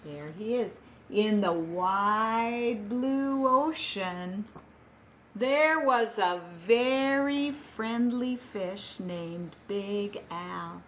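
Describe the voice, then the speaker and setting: A woman teaching young children over a video call, talking in a slow, patient, sing-song way. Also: medium pitch, expressive, fairly bright tone, a little hiss or hum. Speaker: preschool teacher.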